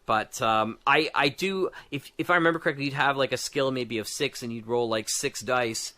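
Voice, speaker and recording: A man in a casual podcast chat, animated and rambling as he thinks aloud and slips into anecdotes. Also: medium pitch, normal speed. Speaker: guest, audio drama creator designing a tabletop game.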